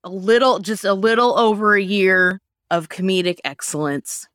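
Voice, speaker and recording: A woman's voice: mid-range voice, normal speed, a bit bright, smooth, no room sound, studio quality. A woman podcast co-host chatting from home, joking at her own expense, laughing often and restarting her sentences. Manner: self-deprecating, laughing, rambling restarts. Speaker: podcast co-host and sketch writer. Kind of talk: casual chat.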